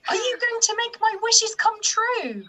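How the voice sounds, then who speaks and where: A woman playing a cheeky, excitable, money-minded young daughter in a radio pantomime. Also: very high voice, a little slow, full character voice. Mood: excited.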